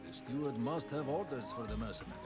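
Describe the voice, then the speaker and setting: An actor voicing a proud warrior knight who always speaks of himself in the third person, in a formal manner, with game music behind. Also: male voice, medium pitch, fast talker, lightly acted. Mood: solemn. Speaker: game character.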